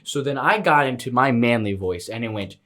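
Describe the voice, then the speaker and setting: A man's voice: mid-range voice, a little fast. A young man on a casual podcast at home, telling a story with lots of laughs and a habit of asking "all right?". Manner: animated storyteller, laughs often, keeps saying "all right?". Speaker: podcast co-host. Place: room at home.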